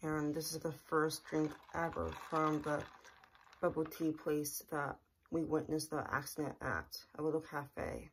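Low-voiced young woman vlogging earnestly. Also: fairly even intonation, measured pace, slight background noise, rough recording. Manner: earnest, repetitive, plain-spoken. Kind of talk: casual personal vlog to camera. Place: small bedroom, indoors. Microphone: camera in front at close range.

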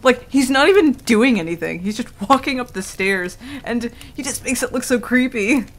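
A woman's voice: a little high, animated, moderate pace, close to an everyday voice. A woman playing a prickly, easily flustered tsundere werewolf in a casual ASMR roleplay, with a defensive, stammering manner. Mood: enthusiastic. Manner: casual, defensive, stammering when embarrassed, swears. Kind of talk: intimate ASMR roleplay acting. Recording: clearly audible background noise.

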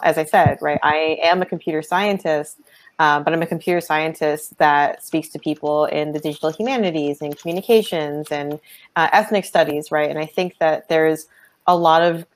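Low-voiced earnest woman academic. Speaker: panelist, computer science professor. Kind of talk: panel discussion on a video call. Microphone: video call from home.

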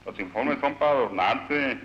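A man's voice: medium pitch, normal speed, lightly acted. A man with a Mexican accent playing a cynical, pompous, scheming political boss in a satirical cartoon. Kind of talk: satirical animated film dialogue. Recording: dull old film soundtrack.

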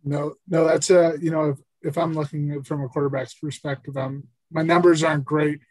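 A man on a video call giving a quarterback's view in a casual coaching discussion, halting and restarting often. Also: fairly high pitch, little pitch movement, a little mellow, no room sound, poor audio. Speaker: co-presenter, quarterback perspective.

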